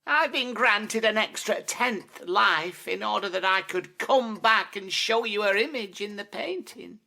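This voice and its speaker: A woman plays an injured, ghostly male talking cat in a supernatural story. The voice is slow and halting, hushed but bright-edged, with creak in it. It speaks tenderly and solemnly. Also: mid-range voice, lively intonation, voice pushed up, exaggerated voice.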